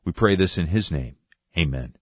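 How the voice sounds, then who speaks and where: A man hosting a radio Bible program, reading listener letters in a friendly, measured announcer manner. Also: low voice, lively intonation, no room sound, clean studio sound. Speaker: radio ministry host.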